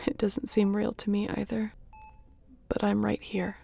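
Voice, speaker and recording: A heavily creaky female space captain, acted by a woman in a sci-fi audio roleplay. She speaks softly and openly at a middling pitch, battle-worn but tender, with a wry streak and a breathy edge. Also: completely flat delivery, measured pace, full character voice. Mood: hushed, loving. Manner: soft, sighing, emotionally open. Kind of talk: intimate audio roleplay acting.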